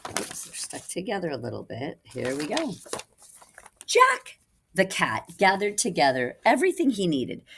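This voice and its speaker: A woman reading a picture book aloud for children in an animated way, with asides to listeners. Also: on the low side, a little hiss or hum. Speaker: children's storybook reader, teacher. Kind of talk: expressive read-aloud for children.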